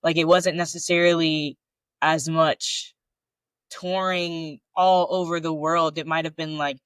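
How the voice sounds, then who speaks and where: A man with a somewhat high voice, chatting casually as a podcast co-host. He talks in a loose, searching way, leaning on filler words as he thinks aloud. The sound is dry and close. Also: flat delivery, a little slow, a bit bright, clear, resonant tone, no echo. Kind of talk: casual conversational chat.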